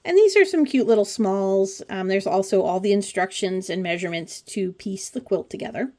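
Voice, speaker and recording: A woman's voice: medium pitch, animated, normal speed, a little mellow. Casting: woman in her forties, mid-range voice, informal craft host with a chatty, dryly humorous delivery. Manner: chatty, wry asides. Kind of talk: casual commentary on a pattern book flip-through.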